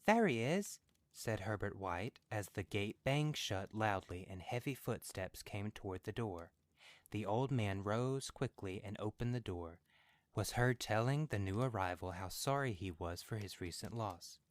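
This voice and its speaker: A man reads a story in scripted literary narration. His voice sits fairly low, and the recording is very dry, with no room sound. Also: unhurried, no echo. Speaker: podcast story reader.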